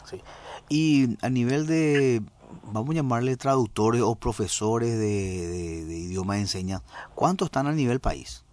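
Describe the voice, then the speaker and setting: A male radio host, asking halting questions full of "eh" fillers. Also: medium pitch, animated, a little mellow.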